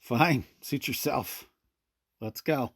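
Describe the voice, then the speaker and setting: A man's voice: medium pitch, takes it slowly, background noise. A man playing a wary, reluctant forest ranger in a radio drama.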